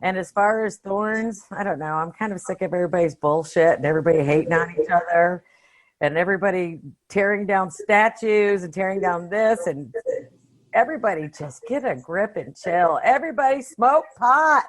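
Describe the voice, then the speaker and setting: A woman's voice: fairly low pitch, moderate pace. A woman co-hosting a podcast, chatting casually in a blunt, informal way. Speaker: podcast co-host. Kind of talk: casual chat.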